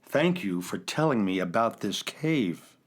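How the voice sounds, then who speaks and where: A man playing a polite, earnest talking chocolate-covered rocket in a children's radio drama, speaking in a measured way. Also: lowish voice, animated. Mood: polite, calm. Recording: guitar music behind the voice.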